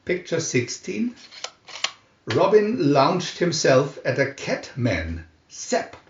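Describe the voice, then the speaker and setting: A man narrating a scripted storybook in a deliberate, theatrical announcing manner. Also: fairly high pitch, wildly swooping pitch, a little hiss or hum. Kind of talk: dramatic scripted storybook reading. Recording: dull lo-fi, roomy echo.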